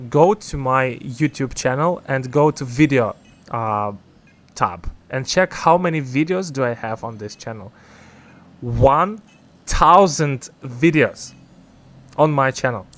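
Slow-talking man with a Ukrainian first language. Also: on the high side, fairly bright tone, slight background noise. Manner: rambling, self-correcting, chuckles at himself. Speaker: gaming YouTuber. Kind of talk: casual gameplay commentary.

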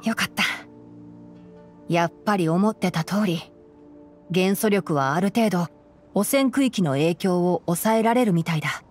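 A woman voicing a gentle young male forest ranger and scholar in a Japanese anime game, measured and explanatory. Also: lowish voice, faint music behind, natural voice. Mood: analytical. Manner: measured, explanatory, soft-spoken.

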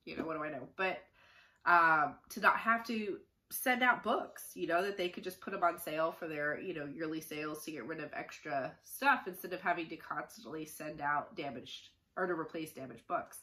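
A woman's voice: low voice, moderate pace, sharp tone. A middle-aged woman chatting casually to camera as an unboxing host, informal and opinionated, with a nasal voice stuffed up from the flu. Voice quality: nasal, congested. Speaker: book reviewer hosting an unboxing. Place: small furnished room indoors.

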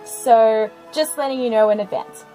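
High-voiced woman reacting casually. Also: normal speed, faint music behind, rough recording. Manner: expressive, exclamatory asides. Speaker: reaction video host.

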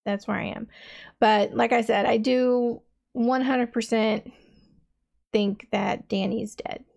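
A woman's voice: a little high, steady tone, takes it slowly, gravelly, darkish tone. A woman in her thirties hosting a reaction video, thinking aloud in a rambling, casual way with many fillers, in a small dim room.

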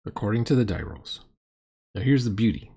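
A man's voice: fairly low pitch, expressive, fairly quick, warm tone, husky. A middle-aged man giving casual, sardonic and critical commentary on a roleplaying game.

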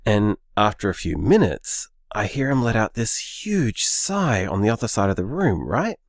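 A man's voice: on the low side, very animated, normal speed, no room sound, clean studio sound. A man playing an easygoing, sceptical young boyfriend in a naturalistic audio drama, conversational and hesitant. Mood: intrigued. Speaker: podcast drama actor.

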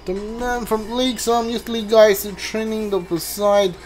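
Male gameplay streamer, slightly high voice, casual and hesitant, reading prompts over game music. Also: level tone, normal speed, poor audio. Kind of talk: casual playthrough commentary, reading on-screen text aloud.

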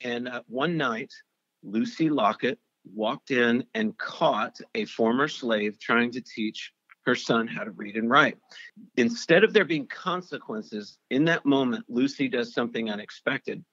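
An earnest man tells an emotional personal story in a medium voice, often restarting and repeating words. The recording is close and dry, with muffled, dull highs. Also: unhurried, fairly bright tone, no echo. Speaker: storyteller guest. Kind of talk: personal testimony interview.

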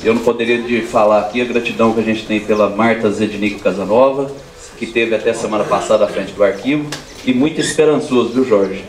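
A man's voice: on the low side, fairly even intonation, brisk. A man in his forties with a Brazilian accent, speaking informally as an official at a public ceremony on a handheld microphone. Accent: Brazilian Portuguese. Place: crowded room at a public ceremony. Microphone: handheld microphone over PA. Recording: echoey amplified room sound.

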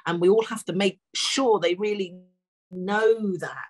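A woman's voice: medium pitch, measured pace, breathy edge. A woman in her sixties talking informally and encouragingly to parents, as a guest speaker on a video call from home. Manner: encouraging, conversational. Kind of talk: informal advice talk to parents. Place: furnished room at home. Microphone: video call, laptop microphone.

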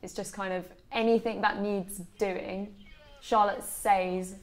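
Young woman chatting casually in an interview, normal mid pitch. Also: flat delivery, slow pace. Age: young adult. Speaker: student event helper. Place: small lakeside room with large windows.

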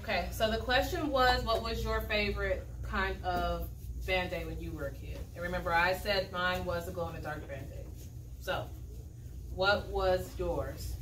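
A woman's voice: on the low side, fairly even intonation, moderate pace, fairly bright tone. A young woman teaching in a dry, instructive manner, leading a classroom read-aloud with questions and frequent check-ins. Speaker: primary school teacher. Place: school classroom, indoors. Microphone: camera a few metres away. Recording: echoey classroom, distant mic, background noise.

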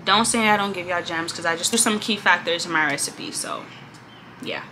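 A young woman vlogging casually at her desk in a small room, laid-back and chatty, her voice a little congested and often trailing off softly at the ends of lines. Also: fairly low pitch, normal speed, background noise. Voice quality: slightly congested. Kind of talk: casual chat.

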